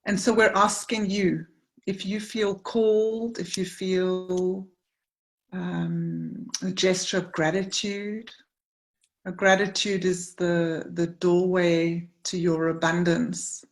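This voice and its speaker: A woman from South Africa hosting a women's retreat circle on a video call, gentle and earnest, often restarting her sentences. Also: lowish voice, little pitch movement, slow. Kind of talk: informal online circle chat.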